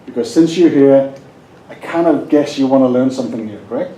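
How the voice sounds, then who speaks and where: Danish-accented male seminar speaker, jokey and chatty, mellow tone, roomy echo. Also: mid-range voice, a little fast, slight background noise. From Denmark. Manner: jokey, asks the audience questions. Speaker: hypnosis presenter. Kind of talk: live seminar talk.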